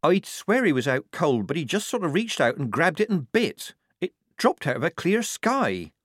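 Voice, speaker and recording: Guy doing a sensible soldier girl in an audiobook, higher voice, measured and practical. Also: very animated, fairly bright tone, no echo, studio quality. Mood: practical, cautious. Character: sensible young woman soldier, disguised as lad.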